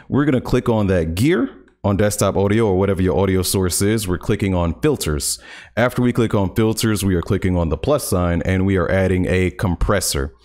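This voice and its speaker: A man in his thirties with a very deep, low voice leads a relaxed streaming tutorial. He talks in an upbeat way and drops playful jokes between the steps. He records at home on a studio mic on a boom arm. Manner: upbeat, playful, joking asides. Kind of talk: casual tutorial. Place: home streaming room, indoors. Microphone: large studio condenser on boom arm.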